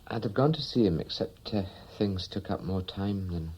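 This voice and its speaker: Young RAF pilot, earnest, understated, lowish mellow voice, radio drama. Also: male voice, lively intonation, moderate pace, a little hiss or hum, poor audio. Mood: subdued, guarded. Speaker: actor in a radio play. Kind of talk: 1980s radio drama acting.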